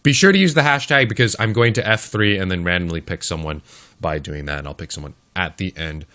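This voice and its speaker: A man hosting a live esports stream, casting matches in casual chat and talking straight to the viewers, his voice a little hoarse. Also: low-pitched, a little fast, bright, forceful tone. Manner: chatty, talks straight to the chat. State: slightly hoarse. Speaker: esports caster and stream host.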